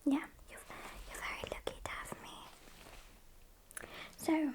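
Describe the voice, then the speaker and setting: A British woman voicing a sassy, smug female vampire in a soft, breathy ASMR roleplay whisper, with hesitant pauses and mock-polite condescension. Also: lightly acted. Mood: sassy, self-satisfied.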